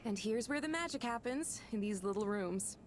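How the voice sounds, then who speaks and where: An actress playing a chatty, upbeat supervisor in a visual novel game, talking in brisk, reassuring corporate orientation patter. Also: female voice, a little high, animated, slight background noise, close to an everyday voice. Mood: upbeat, instructive.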